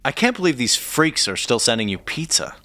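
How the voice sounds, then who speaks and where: Eager sidekick: lively swinging intonation, chatty, pushy, playful, naturalistic audio-drama acting. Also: male voice, medium pitch, a little fast. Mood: curious, teasing. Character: eager, nosy, persistent amateur sleuth's sidekick.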